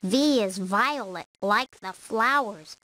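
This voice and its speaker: A woman reading children's verse from a script with measured, simple read-aloud delivery. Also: medium pitch, sharp tone. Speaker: storybook reader.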